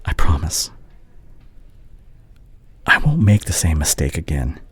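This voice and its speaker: A man playing a heartbroken, devoted young doctor ex-boyfriend in an intimate audio roleplay, hushed and halting, often slipping toward a whisper. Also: very deep voice, big pitch swings, husky, no room sound, heavily acted. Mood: tender, devoted. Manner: halting, emotional, confessional.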